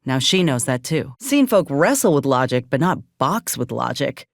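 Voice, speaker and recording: A woman's voice: very deep voice, big pitch swings, moderate pace, gravelly, dry recording, full character voice. An actress voicing a plain-spoken, loyal young woman fighter in a video game, with a folksy, wry manner. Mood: wry, matter-of-fact. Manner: folksy, dry-witted. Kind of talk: video game companion voice lines.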